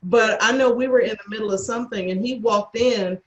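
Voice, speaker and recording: A woman chatting casually on a video call, telling anecdotes in an earnest, halting way. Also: fairly high pitch, muffled recording. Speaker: audio editor and cast crew member. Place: indoor room on a video call. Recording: echoey room over video call.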